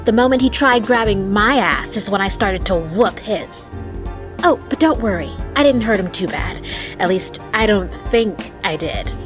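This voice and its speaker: A woman playing a brash, peppy young bar bouncer and waitress in an audio drama, with a casual, rough-around-the-edges way of talking. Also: medium pitch, animated, a little slow, natural voice. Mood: upbeat, brash. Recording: music behind the voice.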